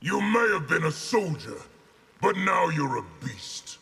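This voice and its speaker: A man voicing a stern, scornful, zealous general in a video game, with a gravelly, imperious delivery. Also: a little high, sing-song, a little slow, heavy background noise, heavily acted. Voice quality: gravelly, hard-edged. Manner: imperious, forceful. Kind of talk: video game fighting character dialogue.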